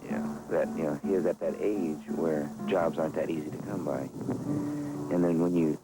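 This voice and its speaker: A man speaking reflectively in a documentary interview, earnest and measured, with halting phrasing. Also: extremely low pitch, steady tone, music in the background, rough recording. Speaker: boycott coordinator and organizer.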